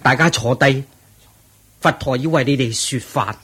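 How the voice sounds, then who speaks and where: An actor playing a devoted, anxious disciple in a Cantonese radio drama, with a Hong Kong accent and an earnest, deferential, breathy delivery. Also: male voice, medium pitch, a little slow, fairly bright tone. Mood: respectful.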